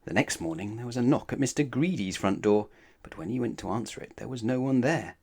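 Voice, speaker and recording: A man narrates a children's story with dramatic emphasis. His mid-pitched voice is highly animated, rising and falling expressively through the read-aloud. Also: fairly quick, slight background noise. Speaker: storybook reader.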